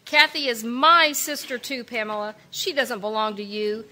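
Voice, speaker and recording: An actress playing a proud, indignant sister in a live old-time radio drama, earnest and quick to protest. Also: female voice, on the high side, bright, forceful tone. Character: proud, indignant sister Sally, easily shaken.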